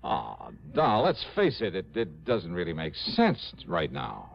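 An American actor playing an easygoing, flustered freelance investigator in an old radio drama. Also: male voice, deep voice, huge pitch swings, a little slow, vocal fry, slight background noise, heavily acted. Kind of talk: 1950s-60s American radio drama acting. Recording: dull old radio broadcast.

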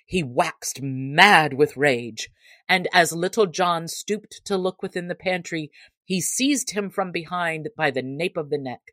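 Low-voiced woman narrating a storybook. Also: a bit bright, dry recording. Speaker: audiobook narrator.

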